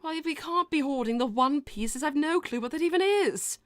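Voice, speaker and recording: Casting: actress as haughty princess for animated-style roleplay. Highish voice, prim formal diction, easily indignant, music bed behind. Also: female voice, fairly quick, no echo. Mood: wary, prim. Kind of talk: improvised in-character livestream roleplay.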